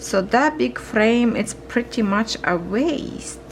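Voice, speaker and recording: Slow-talking woman with a gravelly, kinda hoarse voice, chatting to viewers while she stitches, faint hiss behind. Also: a little high, lively intonation. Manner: slow, musing, talks to viewers while working. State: losing her voice, coughing. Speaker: cross-stitch vlogger. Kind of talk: casual chat while stitching.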